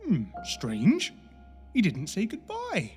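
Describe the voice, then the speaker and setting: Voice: mid-range voice, huge pitch swings, slow pace, darkish tone, faint music behind. A performer playing a timid, anxious male raccoon in an audio drama, speaking hesitantly and uneasily. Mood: uneasy, puzzled.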